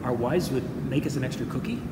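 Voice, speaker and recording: A man's voice: medium pitch, fairly even intonation, quick pace. A man co-hosting a DJ gear show, bantering casually and playfully to camera from a demo room at a trade show. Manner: playful, teasing. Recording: echoey hall, clearly noisy.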